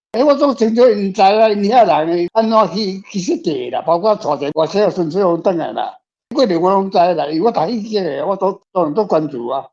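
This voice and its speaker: Dull-sounding older Taiwanese man, higher-pitched. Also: darkish tone. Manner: opinionated, emphatic, repeats himself. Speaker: author and discussion guest. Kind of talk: casual literary discussion. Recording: dull lo-fi, music behind.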